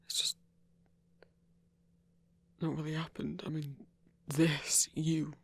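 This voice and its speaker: A man acts out a gentle, awkward werewolf hunter in an ASMR audio drama. His voice is soft and very husky, with a creaky edge. He stammers earnestly and takes his time over the words, slow and unsure. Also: a little high, flat delivery, dry recording, exaggerated voice. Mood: bashful, unsure. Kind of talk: intimate ASMR audio roleplay.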